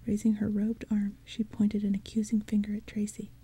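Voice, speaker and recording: A woman narrating an audiobook in a soft, hushed whisper. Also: medium pitch, monotone, moderate pace, husky.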